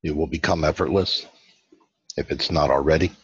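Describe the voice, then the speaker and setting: A man leading a guided meditation as host, giving slow, soothing instructions with long pauses and a husky voice. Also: very deep voice, fairly even intonation, a bit bright. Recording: dull, lo-fi, roomy sound.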